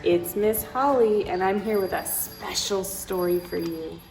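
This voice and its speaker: A woman's voice: medium pitch, measured pace, loud music behind the voice, poor audio. A woman reading a picture book aloud to children, with playful asides and dramatic emphasis, speaking straight to her young listeners.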